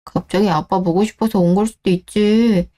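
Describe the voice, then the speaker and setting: A woman's voice: medium pitch, steady tone, normal speed, warm tone, lightly acted. A woman playing an earnest, hurt wife and daughter in a scripted radio drama. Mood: defensive, worried.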